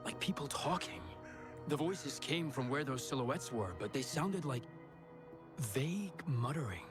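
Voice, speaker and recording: A helpful patrolman from a video game. He is earnest and thoughtful, with a measured delivery at an average male pitch. Music plays clearly underneath. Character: earnest male patrolman investigating strange monsters.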